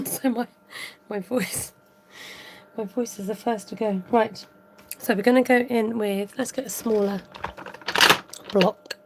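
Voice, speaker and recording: A woman hosting a live card-making tutorial, thinking aloud as she works, chatting with viewers and reading out their comments. Her voice is a little croaky. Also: a little high, steady tone, slow, long pauses, a little mellow, a little hiss or hum. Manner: rambling, thinks aloud while working. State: slightly croaky voice. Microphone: overhead camera above a craft table, voice from off-frame.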